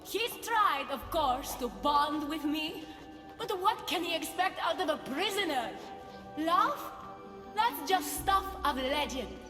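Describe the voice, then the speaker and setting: High-voiced haughty goddess queen. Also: lively intonation, slow pace, music in the background. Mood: haughty, disdainful. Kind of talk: video game cutscene acting. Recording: echoing hall with long reverb.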